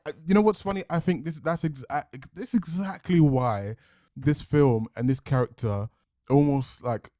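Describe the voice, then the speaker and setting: A man giving a reflective film review, thinking aloud, restarting sentences and swinging between lively and quiet. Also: medium pitch, dry recording, studio quality. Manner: thoughtful, analytical, restarts often.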